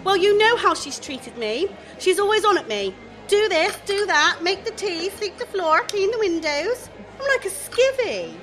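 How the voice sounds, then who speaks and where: A chatty young shop assistant with a grievance, in a radio comedy. Her voice is high and bright and swings about in a lively way. She talks in a blunt, gossipy, everyday manner. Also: moderate pace, soft background music, full character voice. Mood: indignant. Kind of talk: radio play comedy acting.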